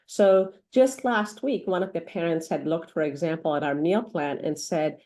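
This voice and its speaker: Earnest Iranian-born woman doctor, lowish voice. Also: normal speed, mellow. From Iran. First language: not English. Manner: earnest, many fillers and restarts. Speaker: pediatric doctor. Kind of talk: interview conversation.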